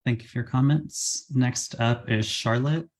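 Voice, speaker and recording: A man's voice: medium pitch, steady tone. A man chairing a formal meeting, calling up public speakers in a procedural way. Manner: brisk, procedural.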